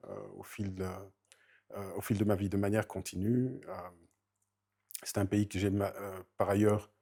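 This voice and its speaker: A middle-aged man from Rwanda introducing himself, slowly and formally, with many hesitations. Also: on the low side, gravelly, mellow. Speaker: family member introducing himself. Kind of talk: halting spoken self-introduction.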